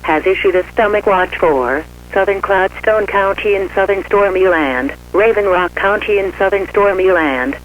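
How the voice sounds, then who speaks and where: Muffled high-pitched male emergency alert announcer. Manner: formal, measured official reading. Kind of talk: scripted emergency weather bulletin. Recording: muffled radio-style audio with hum.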